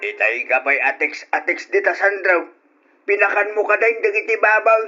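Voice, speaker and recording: A man's voice: high voice, moderate pace, highs cut off, music in the background, close to an everyday voice. An actor playing a bossy middle-aged father who nags his son in an old radio drama. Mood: scolding.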